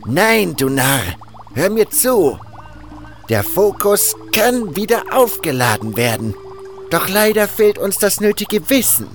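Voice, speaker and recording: A haughty, fanatical guru of a fantasy cult, acted by a man in an audio drama. He speaks slowly, hissing with contempt, and his pitch swings dramatically. Music plays behind the voice. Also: very animated, exaggerated voice. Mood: impatient, condescending.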